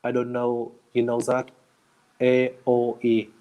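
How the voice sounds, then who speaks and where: Slow, halting English learner, man. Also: medium pitch, completely flat delivery, lo-fi sound. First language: not English. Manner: halting, hesitant, restarts words often. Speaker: English learner in conversation practice. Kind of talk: casual chat.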